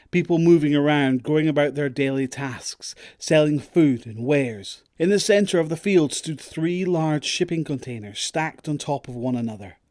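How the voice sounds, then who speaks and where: A man's voice: medium pitch, darkish tone, no echo, studio quality. A man narrating a scripted audio drama story.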